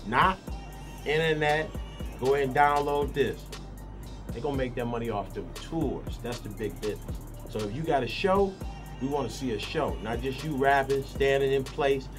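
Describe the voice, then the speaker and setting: A man with a Detroit accent lays out advice with emphatic conviction. Clear music runs under his voice. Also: medium pitch, normal speed. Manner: advising, emphatic. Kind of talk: candid interview talk.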